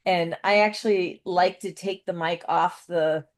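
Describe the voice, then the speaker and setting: A woman's voice: fairly low pitch, steady tone, unhurried. A woman taking part in a seminar discussion, sharing advice in an earnest, rambling, conversational way. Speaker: seminar participant. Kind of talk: casual discussion.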